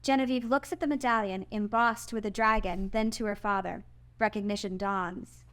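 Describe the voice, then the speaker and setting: A woman's voice: medium pitch, fairly even intonation, normal speed, a bit bright, smooth. A woman reading out screenplay stage directions as the narrator at a table read.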